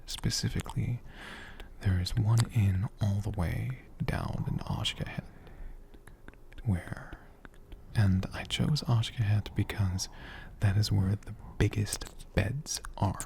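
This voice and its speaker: A performer voicing a gentle, affectionate dragon healer in a soft, breathy ASMR whisper, slow and soothing with tender, lingering pauses. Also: low-pitched, a little hiss or hum, full character voice. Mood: gentle, reassuring. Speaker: ASMR creator.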